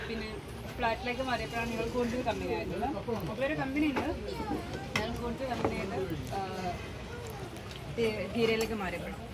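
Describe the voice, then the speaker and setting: A woman's voice: on the high side, a little fast, pauses often. A young woman casually telling a story among friends. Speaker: friend of the host. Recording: loud outdoor crowd and vehicle noise.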